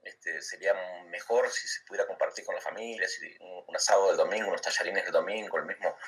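A man's voice: low voice, quick pace, fairly bright tone, slightly rough, far from the mic, rough recording. A middle-aged Argentine man chatting as an interview guest, stumbling and repeating words as he thinks aloud, and laughing easily. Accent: Argentine Spanish. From Argentina, Comodoro Rivadavia. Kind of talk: casual chat.

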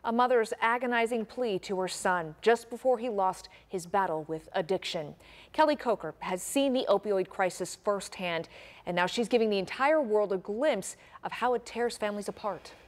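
A woman's voice: medium pitch, moderate pace. A woman news anchor presenting from a TV studio.

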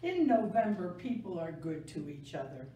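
An older woman reading a picture book aloud to children in a gentle, deliberate way, stressing key words. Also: lowish voice, sing-song. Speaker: library story time reader. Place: small indoor room, seated. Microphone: camera a few metres away. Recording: echoey room, distant mic, faint noise.

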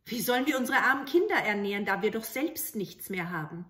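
A woman voicing a poor, soft-hearted father in a fairy tale, troubled and pleading. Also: mid-range voice, animated, a little fast, lightly acted. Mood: distressed. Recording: roomy home recording.

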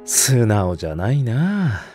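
Mature male teacher, anime audio drama, calm, measured, teasing. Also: fairly low pitch, music in the background. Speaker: voice actor.

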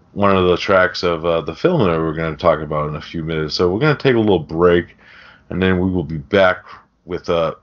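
Guy podcast host with a really low voice, loose casual movie chat, kinda muffled lo-fi audio. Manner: informal, sweary. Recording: dull, lo-fi sound.

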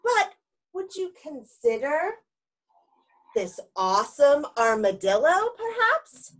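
A woman's voice: high-pitched, very animated, slow, a bit bright, full character voice, low-quality recording. A woman playing an eager, persuasive animal shelter worker in a children's storybook, with coaxing, exaggerated delivery. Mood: bright, coaxing. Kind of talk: theatrical children's storybook read-aloud.

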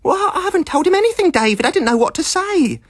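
Flustered, apologetic older landlady played by a male reader, high wavering voice, audiobook. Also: sing-song, quick pace, no echo, studio quality. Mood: defensive. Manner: hesitant, trembling, apologetic.

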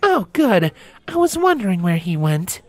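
A man voicing a sceptical, brisk female scientist in charge, with a dry, commanding manner, in a story reading. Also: high-pitched, big pitch swings, no echo, pitched above the performer's own voice. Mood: impatient.

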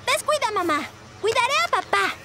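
A woman's voice: very high-pitched, expressive, moderate pace. A woman voicing a sweet, confident little girl in a Latin American Spanish cartoon dub, cheerful and self-assured. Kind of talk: animated children's cartoon dub. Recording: loud music behind the voice.

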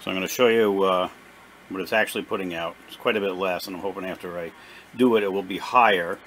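A man giving a casual, unscripted hands-on repair demonstration in a plain, halting, explanatory way at a workshop bench. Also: low voice, fairly even intonation, fairly bright tone, a little hiss or hum, low-quality recording. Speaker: presenter of a radio repair video. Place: small indoor workshop at a workbench.

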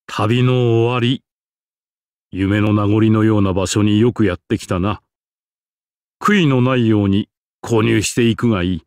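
A man's voice: fairly low pitch, unhurried, long pauses, a little mellow, no echo. A grand old king from a fantasy game. He speaks in a stately, archaic manner, proud yet genial. The sound is dry and clean. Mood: approving, genial. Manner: stately, archaic, self-satisfied. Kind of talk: Japanese game character voice acting.

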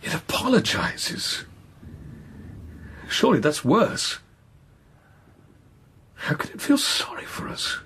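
An actor playing a troubled, earnest priest in a radio drama, hesitant and full of questions. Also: male voice, a little high, wildly swooping pitch, takes it slowly, long pauses, a bit bright, slight background noise, full character voice. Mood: troubled, questioning.

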